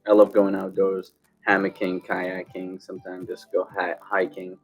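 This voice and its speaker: Young saudi guy, lowish voice, student testimonial, soft music behind. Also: monotone, moderate pace. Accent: Saudi Arabian. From Saudi Arabia. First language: Arabic. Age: young adult. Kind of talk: unscripted testimonial. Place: indoor lounge or office. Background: faint music.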